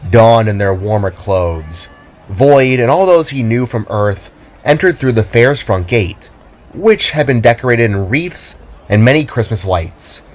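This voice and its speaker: A man's voice: mid-range voice, expressive, a little slow. A man narrating his own audio drama in a descriptive storytelling style. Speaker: narrator and writer.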